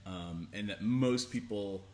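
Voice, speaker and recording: Male co-host, lowish voice, playful, jokey, thinks out loud, casual advice chat. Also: slow.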